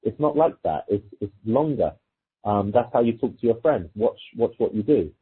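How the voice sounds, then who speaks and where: A man's voice: on the low side, lively intonation, normal speed, slightly rough. A man working as a dating coach, giving informal, conversational advice and explaining his points through example exchanges. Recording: muffled phone-like audio, thin and lo-fi.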